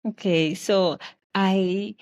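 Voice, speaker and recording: A woman's voice: mid-range voice, fairly even intonation, drawn-out words, darkish tone. A woman from Zambia with a Zambian accent, telling her own story conversationally as an interview guest. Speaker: interview guest, health professional.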